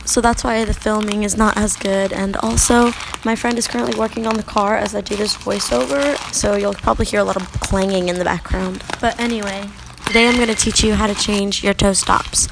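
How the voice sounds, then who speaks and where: Young female vlogger, hoarse and gravelly, relaxed chatty tutorial read, mid-range pitch. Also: fairly quick, background noise. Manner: chatty, relaxed, with many fillers. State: raspy from a sore throat. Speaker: skating vlogger. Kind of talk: casual how-to voiceover. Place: home at night.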